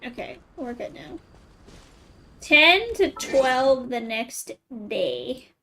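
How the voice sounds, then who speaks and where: A woman's voice: sits high, big pitch swings, extremely slow, bright, forceful tone, slight background noise. A woman giving casual game commentary as a streamer, with playful, mock-exasperated asides. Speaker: gameplay streamer.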